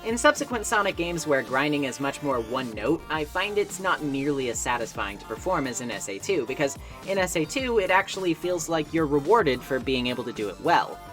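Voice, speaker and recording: A woman's voice: deep voice, very animated, fairly quick, few pauses. A woman narrating a scripted video game review essay in an opinionated way, with conversational asides. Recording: voice-over with music behind. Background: background music.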